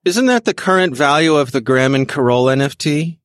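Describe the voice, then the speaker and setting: A man bantering casually on a podcast, slipping in wry, deadpan jokes. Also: on the high side, brisk, no room sound, studio quality.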